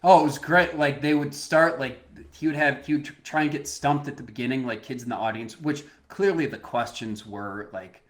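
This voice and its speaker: A man in his thirties co-hosting a podcast, telling lively stories and laughing easily. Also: mid-range voice, normal speed, few pauses. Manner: animated storyteller, laughs easily, restarts sentences. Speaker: podcast co-host. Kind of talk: casual chat.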